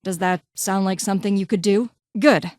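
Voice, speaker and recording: A woman playing a curt vault doctor and scientist from a video game. She is haughty and impatient, phrasing things formally in a clipped, condescending way. The voice sits at a middle pitch in a very dry space. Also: fairly even intonation, moderate pace, darkish tone, no room sound, lightly acted. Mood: businesslike. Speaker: voice actor in a game mod. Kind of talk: scripted game character dialogue.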